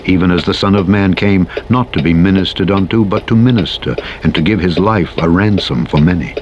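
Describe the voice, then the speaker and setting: Deep-voiced man solemnly reading scripture over engine noise. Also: brisk, highs cut off. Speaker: Bible narrator. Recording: clear engine and vehicle noise behind. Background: truck engine noise from a driving game.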